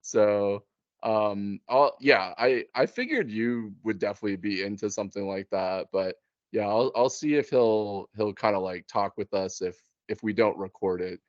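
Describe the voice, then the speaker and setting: A man's voice: on the low side, moderate pace, dry recording, lo-fi sound. A man chatting casually as a podcast host, in an informal, slangy way. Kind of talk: casual chat.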